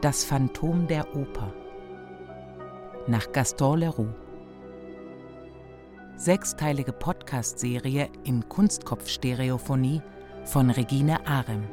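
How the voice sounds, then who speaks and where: A woman reading a scripted title announcement for a radio play in a measured, deliberate way. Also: rumbling low, music in the background.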